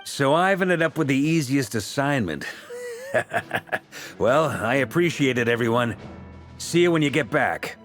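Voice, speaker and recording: Seasoned game commander, casual, good-natured, mid pitch, animated delivery, music underneath. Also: male voice, a little slow, long pauses. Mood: wryly grateful. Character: seasoned, easygoing male leader of fighters. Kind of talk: video game English dub acting.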